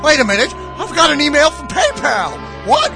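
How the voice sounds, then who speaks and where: A man voicing a harried, broke cartoon family man in a sped-up, squeaky parody delivery. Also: huge pitch swings, moderate pace, heavily acted. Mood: excited. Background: music.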